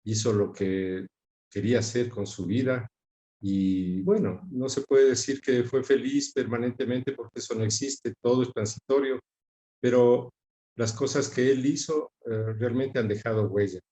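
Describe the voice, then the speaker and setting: Mellow Quito man in an echoey room. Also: medium pitch, steady tone, unhurried. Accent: Quito Ecuadorian. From Quito, Ecuador. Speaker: interview guest. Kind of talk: conversational reminiscence. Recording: roomy, echoing sound.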